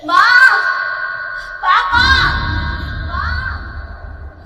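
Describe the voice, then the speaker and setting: A woman narrating a first-person horror story in a suspenseful, theatrical way, with music behind her. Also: mid-range voice. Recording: music under the voice. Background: background music.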